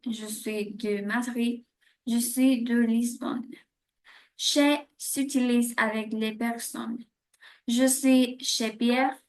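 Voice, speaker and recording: Woman, language teacher, slow measured reading, fairly high voice. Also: a little mellow. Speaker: French teacher. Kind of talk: scripted grammar lesson.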